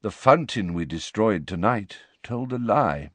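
A man voicing a calm, weary, wise old wizard headmaster in an audiobook, speaking quietly and with measured gravity. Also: on the low side, dry recording. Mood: quiet, firm. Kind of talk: dramatic audiobook character reading.

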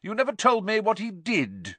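A man putting on a shrill, boozy bullying aunt. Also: huge pitch swings, normal speed, fairly bright tone, no echo, full character voice. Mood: scornful. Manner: boozy, sneering, domineering. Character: loud drunken bullying middle-aged aunt.

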